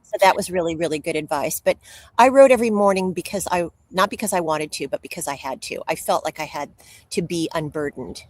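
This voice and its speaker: A woman with a slightly low-pitched voice answers as an interview guest. She tells personal stories candidly and openly, like a writer happy to share everything. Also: brisk. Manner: candid, open oversharer.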